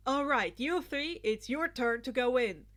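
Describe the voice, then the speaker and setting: A woman's voice: sits high, animated, a little slow, fairly bright tone. A woman voicing an earnest, orderly teenage boy who gives formal instructions in a story reading. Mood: instructing.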